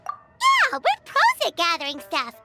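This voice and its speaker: A woman voicing a small, excitable, childlike fairy companion in a video game, squeaky and very expressive. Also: takes it slowly, heavily acted. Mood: eager, supportive. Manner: expressive, speaks of self in third person. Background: background music.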